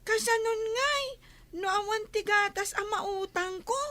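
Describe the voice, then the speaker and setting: Very high, slow, whiny little child. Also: female voice, sharp tone, full character voice. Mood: whining. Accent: northern Philippine. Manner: whiny, drawn-out pleading. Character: pleading, whiny young child sent on errands. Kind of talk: comedy radio drama acting.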